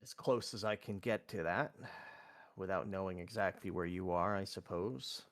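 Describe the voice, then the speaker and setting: A man playing a guarded male sorcerer in a tabletop game, speaking formally and haltingly. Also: sits low.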